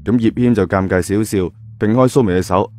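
A mellow, fairly low male voice narrates a story with a Cantonese accent. He is colloquial and dramatic, dropping chatty asides, with soft music underneath. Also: fairly quick.

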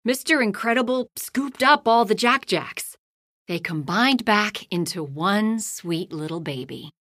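Woman narrator for a kids' storybook read-along: unhurried, very expressive, dry close sound. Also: mid-range voice, no echo. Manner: slow, lively storytelling, hushed at bedtime lines and loud in action. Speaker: storybook reader. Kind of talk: read-aloud children's bedtime story.

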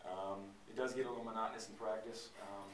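A young college basketball player answering reporters after a game, quick and rambling, his low voice staying flat. The camera sits across the room, so he sounds distant and echoey, with faint room noise. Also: a crowd in the background. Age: young adult. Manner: quick, rambling, many "uh" and "you know". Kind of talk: postgame press conference answers. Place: press conference room.

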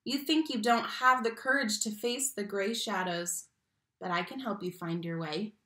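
A young adult woman reads a picture book aloud to young children, expressively, stressing key words and speaking to the listeners directly. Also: mid-range voice. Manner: animated, slow emphatic phrasing on key words, addresses children directly. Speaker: read-aloud teacher and storyteller. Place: small room at home, indoors. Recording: roomy home webcam sound.

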